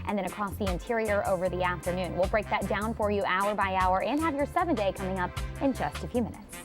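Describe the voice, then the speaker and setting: Brisk female weather presenter over music. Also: mid-range voice. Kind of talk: broadcast weather report. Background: music bed.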